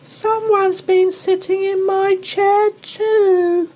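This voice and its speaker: A man voicing a tiny, squeaky, tearful baby bear boy in a storybook read-aloud. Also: little pitch movement, drawn-out words, darkish tone, heavily acted. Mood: squeaky, upset.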